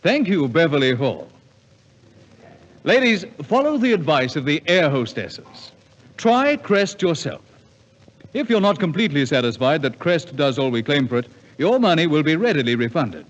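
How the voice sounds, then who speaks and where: A man's voice: a little high, sing-song, moderate pace. A man reading a scripted 1950s radio advertisement in a polished, persuasive manner. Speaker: radio commercial announcer. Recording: dull 1950s radio recording, long reverb.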